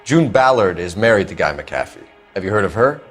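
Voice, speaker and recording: An actor playing a polite but pressing male police detective questioning a witness, with a low, lively voice over music. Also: fairly quick. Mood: probing.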